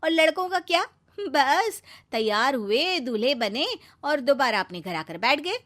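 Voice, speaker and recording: Woman playing high-pitched, outspoken young bride. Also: expressive, a little slow, fairly bright tone. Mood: indignant. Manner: exclaiming, questioning, emphatic. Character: bold questioning young bride, earnest and outspoken. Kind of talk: animated moral story dialogue.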